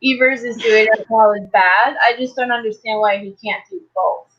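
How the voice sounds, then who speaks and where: A woman with a slightly high voice chats casually on a panel. She explains things a little tentatively, and the audio is dull and lo-fi. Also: moderate pace, a bit bright, a little hiss or hum. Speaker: talk show panelist. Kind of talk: casual discussion.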